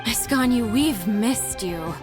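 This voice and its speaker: A weary, admiring young female office worker from a video game. She speaks slowly in a fairly high, very animated voice. Music plays behind her.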